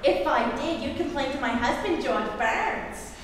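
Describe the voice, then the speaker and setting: An actress playing a naive, cheerfully illogical young wife in a staged 1940s radio comedy. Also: female voice, sits high, lively intonation, unhurried, a bit bright. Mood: chirpy. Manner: chirpy, naive, bouncy. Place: theatre stage. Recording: echoey theatre, far from mic, faint hiss.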